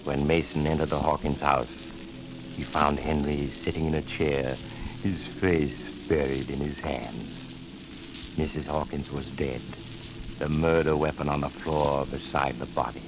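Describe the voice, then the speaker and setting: An ominous doctor storyteller, very deep. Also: male voice, steady tone, background noise. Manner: measured, ominous. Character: eerie doctor who narrates grim tales. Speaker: narrator. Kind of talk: 1940s radio narration. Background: music.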